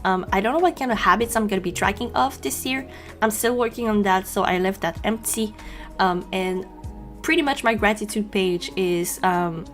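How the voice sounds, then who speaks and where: A young woman from Quebec with a French-Canadian accent, a native French speaker, chatting casually in a voice-over as she draws, often pausing with ums and you-knows. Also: mid-range voice. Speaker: journaling channel host. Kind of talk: casual voice-over narration while drawing.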